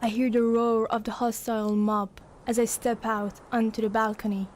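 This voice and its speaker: A woman playing a dreamy, fragile schoolgirl given to grand fantasies, speaking in a measured, intense way in a poetic audio drama. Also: a little high, flat delivery, a little mellow, slight background noise, lightly acted. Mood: defiant.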